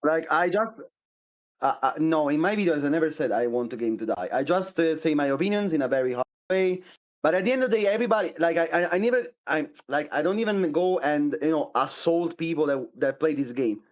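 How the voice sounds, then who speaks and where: Italian-accented man, halting and defensive. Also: on the high side, lively intonation. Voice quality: halting, many restarts and fillers. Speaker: content creator debating. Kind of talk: heated debate, defensive.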